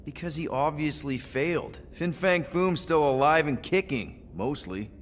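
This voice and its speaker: A man's voice: fairly high pitch, lively intonation, measured pace, a little hiss or hum. A man playing a casual, wry young space-outlaw team leader in a video game cutscene. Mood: wry, sceptical. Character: wisecracking young human male space-outlaw team leader, laid-back.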